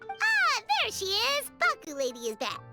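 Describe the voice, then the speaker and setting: Squeaky perky little fairy companion. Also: female voice, wildly swooping pitch, unhurried, over loud music, exaggerated voice. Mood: excited. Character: small childlike floating fairy companion, perky and blunt. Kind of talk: video game voice acting.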